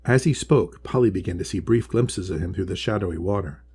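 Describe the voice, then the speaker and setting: A man's voice: sits low, fairly quick, warm tone, slight background noise. A man reading his own children's storybook aloud as scripted narration.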